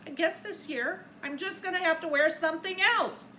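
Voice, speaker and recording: A woman's voice: very high voice, moderate pace, bright, forceful tone, pitched above the performer's own voice. A woman reading a storybook aloud as jolly old Santa, fussing and fretting aloud over his clothes in exclamations. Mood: resigned. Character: jolly old male Santa, fussy and fretful about clothes. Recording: echoey room with faint background noise.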